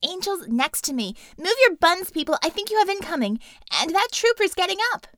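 A woman's voice: extremely high pitch, sing-song, fairly quick, fairly bright tone, no room sound, studio quality, voice pushed up, heavily acted. A woman voicing an urgent, bossy young woman who guides a squad over a comm in clipped commands, in an audiobook. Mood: urgent, commanding.

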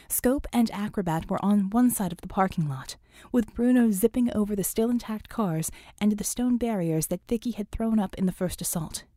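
A woman's voice: mid-range voice, dark tone, no room sound, studio quality. A woman reading scripted fiction as an audiobook narrator, in a dramatic storytelling style.

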